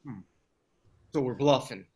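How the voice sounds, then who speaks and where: A man's voice: mid-range voice, unhurried, mellow, breathy edge. A man acting in a screenplay table read as a wisecracking activist and ex-soldier, with a casual, conversational, profane delivery. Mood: probing. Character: gruff, wisecracking male activist McKenna, an ex-soldier comforting his dying lover.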